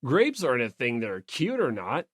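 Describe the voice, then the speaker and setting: A performer voicing a dim, easygoing, excitable young man in an audiobook, clueless and emphatic. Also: mid-range voice, normal speed, husky, no echo, studio quality. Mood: confused. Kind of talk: audiobook character acting.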